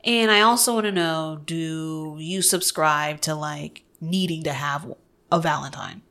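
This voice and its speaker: A woman of thirty hosts a casual podcast chat and talks in a frank, chatty way. Her voice sits slightly low for a woman. She laughs easily as she talks. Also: a little slow, sharp tone. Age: 30s. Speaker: podcast host.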